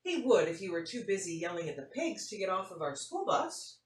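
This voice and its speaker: A woman's voice: fairly low pitch, big pitch swings, a little fast. A woman voicing a nonchalant, matter-of-fact schoolgirl telling her tale in a picture-book read-aloud. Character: nonchalant schoolgirl recounting an unbelievable farm trip. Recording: roomy, faint noise, distant mic.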